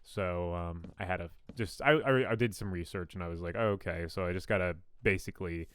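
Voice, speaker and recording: A young man with a fairly deep radio-style voice, chatting casually and rambling with self-deprecating asides while he builds in a game. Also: brisk, a bit bright, clear, resonant tone, dry recording, studio quality. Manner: rambling, self-deprecating, frequent ums and restarts. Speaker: gaming YouTuber. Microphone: new studio condenser mic.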